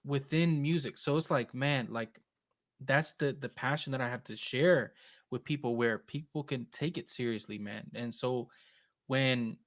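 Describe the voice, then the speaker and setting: A man guesting on a live chat, talking quickly and with energy and slipping in Spanish phrases. He sounds like he is on a video call. The room sound is dry, with almost no echo. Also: mid-range voice. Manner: animated, fast-talking, slips into Spanish phrases. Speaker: percussionist guest.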